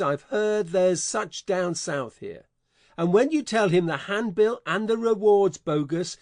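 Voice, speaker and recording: A man's voice: sits high, a little mellow, dry recording, studio quality. A man playing a bullying folksy con man. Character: scheming adult male con man, menacing. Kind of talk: audiobook dramatization.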